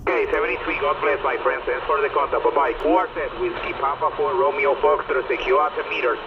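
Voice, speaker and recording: A man's voice: on the high side, fairly even intonation, brisk, vocal fry. A friendly man making a contact as an amateur operator over shortwave radio. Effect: shortwave radio transmission. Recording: muffled, very noisy shortwave radio.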